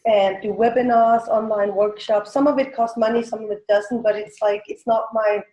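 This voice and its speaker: A woman's voice: a little high, steady tone, moderate pace, warm tone. A woman in her forties from Denmark with a Danish accent, a native Danish speaker answering casually as an interview guest, with hesitant, self-correcting phrasing. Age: 40s. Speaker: guest, teen coach and business owner. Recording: roomy, echoing sound.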